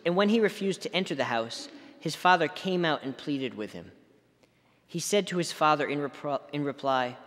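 A middle-aged man reading scripture solemnly as a deacon at a church lectern. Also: on the high side. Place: large stone church, at a lectern. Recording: reverberant church hall.

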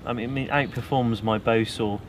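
A young British man speaks in a relaxed, enthusiastic way about his kit while standing in the forest. His voice is mid-pitched, and the outdoor camera audio carries clear background noise. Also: normal speed. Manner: relaxed, enthusiastic gear talk. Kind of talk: casual outdoor chat. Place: woodland outdoors.

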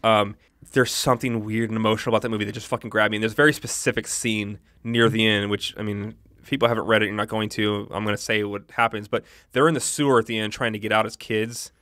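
A man in his thirties talks quickly and loosely on a casual podcast, swearing freely and circling back on his sentences. His voice sits at an ordinary pitch, recorded close and dry with no room sound. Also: no room sound. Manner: rambling, profane, animated. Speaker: podcast host.

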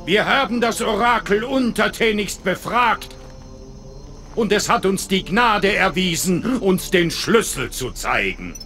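A man's voice: sits high, expressive, unhurried, sharp tone, faint music behind, exaggerated voice. A man voicing an old alien prophet in a video game, preaching in a solemn, fervent, theatrical way. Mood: solemn, exalted. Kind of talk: cinematic video game acting.

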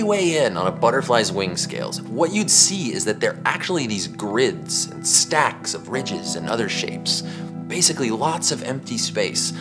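American man, forties, slightly low pitch, very lively intonation, casual science presenter, emphatic delivery. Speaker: science show host. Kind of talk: conversational science explainer to camera. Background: background music.

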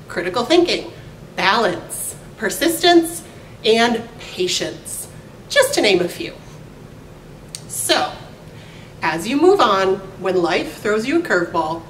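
A middle-aged American woman delivers a prepared commencement speech in a deliberate, measured way with pauses. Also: fairly bright tone. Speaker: college faculty speaker. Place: indoor room at a podium. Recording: echoey room, distant mic, faint rumbling noise.

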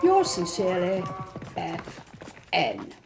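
A woman's voice: lowish voice, huge pitch swings, takes it slowly, creaky, music in the background, pitched below the performer's own voice, exaggerated voice. A woman performing a prim, exacting Victorian nurse in a precise, formal, indignant dramatic poetry monologue. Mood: stern, indignant.

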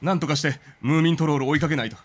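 A man voicing a calm, resolute wanderer in a video game, cool and matter-of-fact, a loyal friend. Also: fairly high pitch, racing, natural voice. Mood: cool, determined.

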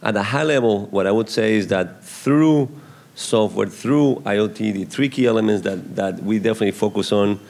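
A middle-aged man from Colombia with a Colombian accent, speaking into a handheld mic on a conference panel stage, explaining in a measured way. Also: fairly low pitch, darkish tone. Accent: Colombian Spanish. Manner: measured, explanatory, restarts phrases. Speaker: venture investor panelist. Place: large conference hall stage. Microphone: handheld microphone.

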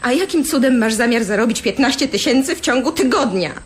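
A woman's voice: on the high side, expressive, brisk, slightly rough. A woman acting as a gambler's exasperated partner in a radio drama, asking pointed questions with dry sarcasm. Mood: sardonic.

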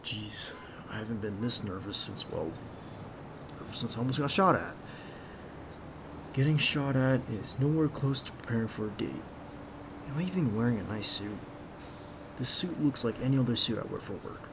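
A man acts out a devoted male bodyguard out on a date, in an ASMR roleplay. His voice has plenty of vocal fry at an average pitch. He talks softly and a little hesitantly, with a gently teasing way about him. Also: normal speed, long pauses, noisy background, lightly acted. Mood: nervous. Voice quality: creaky. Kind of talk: ASMR roleplay acting, intimate one-sided dialogue.

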